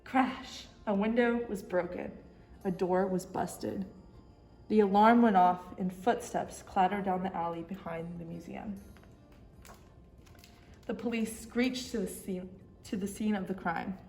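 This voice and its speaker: Woman, children's story time reader, expressive narration, mid-range voice, echoey room. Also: medium pitch, a little slow, soft background music.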